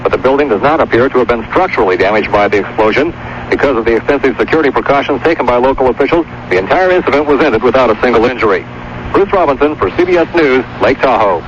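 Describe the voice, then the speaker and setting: A man's voice: mid-range voice, lively intonation, fast, muffled recording, slight background noise. A man giving a scripted field report as a radio correspondent.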